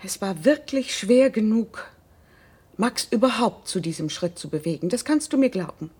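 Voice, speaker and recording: A woman's voice: mid-range voice, lively intonation, normal speed, darkish tone, husky. An actress in a German radio drama playing a cool, calculating middle-aged wife and mother, controlled and pointed in delivery. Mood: stern, reasoning.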